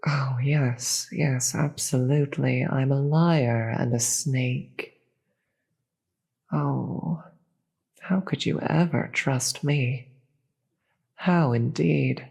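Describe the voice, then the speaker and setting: A performer voicing a proud, imperious demon queen in a fantasy audio roleplay, deliberate and theatrical, with a breathy voice and contempt for the gods. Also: mid-range voice, a little mellow, exaggerated voice. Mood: sardonic, threatening. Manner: deliberate, theatrical, grandiose speech with dramatic pauses.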